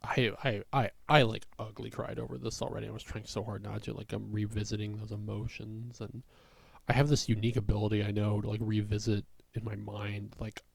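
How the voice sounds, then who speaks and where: Young male comedian, raspy, rambling chatty vlog delivery, dry room. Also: fairly low pitch, level tone, fairly quick, no echo. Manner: rambling, full of fillers and restarts.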